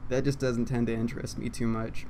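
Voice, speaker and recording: A man's voice: mid-range voice, flat delivery, a little fast, dark tone. Young guy rambling at his desk, kinda unsure off-script, lots of ums, faint hiss behind him. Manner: casual, self-deprecating, meandering with fillers. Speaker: YouTuber. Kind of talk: unscripted rambling monologue. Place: home room, seated at computer. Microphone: condenser mic. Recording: faint background noise.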